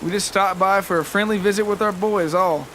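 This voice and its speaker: Man acting a calm, folksy stepfather. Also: high voice, slight background noise, voice pushed up, poor audio. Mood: placating. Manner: measured, folksy, reassuring. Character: calm, kindly, steadfast stepfather, rural man.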